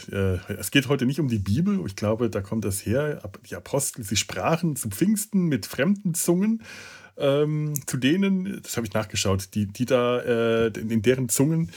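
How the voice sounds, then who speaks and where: A man hosting a casual podcast chat, speaking haltingly as he searches for his words. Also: mid-range voice, a little mellow. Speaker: podcast host.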